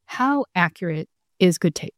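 A woman's voice: on the low side, expressive, moderate pace, no room sound, studio quality. A woman interviewing a guest in a chatty, emphatic conversational way.

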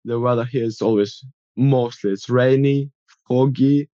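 A young man from Ukraine with a Ukrainian accent, answering interview questions in careful, slightly halting English. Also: medium pitch, steady tone, dark tone, no room sound, muffled recording.

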